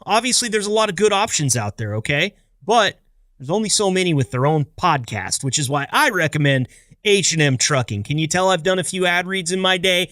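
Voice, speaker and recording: An American man with a bright, forward, slightly high voice hosts a casual podcast. He talks in playful, jokey patter, upbeat and chatty. The sound is dry and close, with no room around it. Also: no echo. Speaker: podcast host. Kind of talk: casual, chatty scripted-loose podcast intro.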